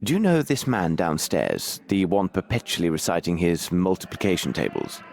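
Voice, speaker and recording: An actor playing a cerebral Victorian detective in a video game, with a formal, articulate way of musing aloud. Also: male voice, low voice, big pitch swings, moderate pace, creaky, darkish tone, full character voice. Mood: inquisitive. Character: Victorian male detective, cerebral, self-assured, inquisitive. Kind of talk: video game dramatic narration to himself.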